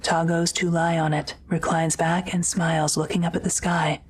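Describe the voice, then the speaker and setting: A woman's voice: on the low side, little pitch movement, moderate pace, a little hiss or hum. A woman reading scripted, present-tense narration for an audio drama.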